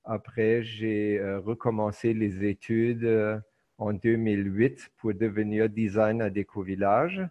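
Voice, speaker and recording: Slow, hesitant man lecturing on a video call. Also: on the low side, fairly even intonation. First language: German. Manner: hesitant, many filler pauses. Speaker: teacher. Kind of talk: online lecture. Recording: muffled video call audio.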